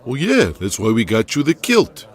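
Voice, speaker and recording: A man's voice: mid-range voice, huge pitch swings, moderate pace, breathy edge. An actor playing a brash, grumbling man of eighties pro wrestling, blunt and argumentative, in an audio drama. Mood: defensive, annoyed. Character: brash, foul-mouthed, grumbling men of 1980s pro wrestling.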